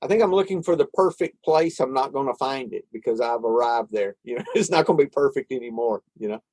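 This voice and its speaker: A man's voice: medium pitch, lively intonation, fast talker, darkish tone, slightly rough, dry recording. A man, thinking aloud in a casual podcast conversation about recovery and spirituality. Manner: reflective, thinks aloud with frequent restarts. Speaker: podcast co-host.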